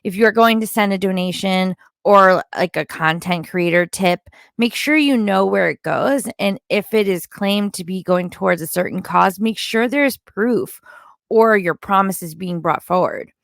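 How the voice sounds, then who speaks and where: A woman's voice: medium pitch, measured pace, vocal fry, no room sound, clean studio sound. A woman delivering opinionated, partly scripted recap commentary in a critical, disapproving manner, like an online content creator.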